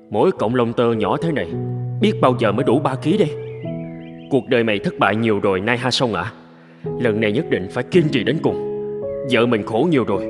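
An actor playing an eager, gullible husband in a cartoon, speaking in an earnest, exclamatory way. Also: male voice, medium pitch, close to an everyday voice. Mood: weary, determined. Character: eager, gullible husband dreaming of gold, later hardworking. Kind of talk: cartoon voice acting.

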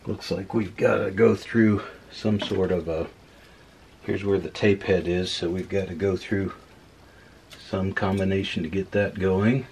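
A man casually demonstrating old equipment at a workbench, unhurried and thinking aloud with many fillers. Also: low voice, fairly even intonation, gravelly, a little mellow, slight background noise, rough recording. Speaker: electronics hobbyist host. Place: workbench room indoors.

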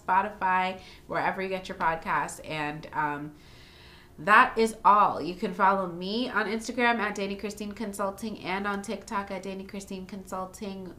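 A woman's voice: fairly low pitch, pushed and bright. A young woman hosting a casual podcast, talking frankly with many fillers. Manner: chatty, frank, with frequent fillers. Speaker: podcast host, daycare owner. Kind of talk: casual chat. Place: small home room.

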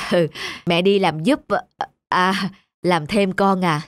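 A woman voicing a weary, gentle middle-aged widowed mother in a cartoon, speaking in a tender, reassuring way. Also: on the low side, steady tone, takes it slowly, dry recording. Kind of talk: animated moral-tale dubbing.

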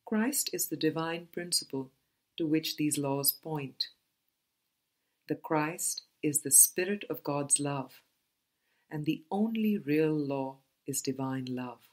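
A woman reading a spiritual book aloud as scripted narration, in a measured, deliberate manner with pauses between phrases. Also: deep voice, a little mellow. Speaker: audiobook reader.

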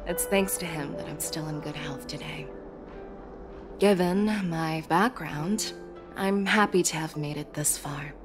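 Woman general, low voice, measured, formal, quietly resolute, video game acting, music behind. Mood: composed, reflective.

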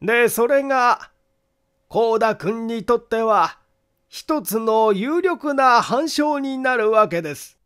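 A man's voice: extremely high pitch, lively intonation, fairly bright tone, clean tone, heavily acted. A man voicing a meticulous amateur detective in an audiobook, methodically and formally laying out his reasoning. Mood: methodical, confident. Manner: deliberate, formal, methodical explaining. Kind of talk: acted audiobook monologue.

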